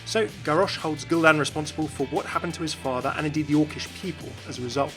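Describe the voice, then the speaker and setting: A man's voice: fairly high pitch, fast talker. A man giving explanatory commentary as the host of a gaming video. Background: music.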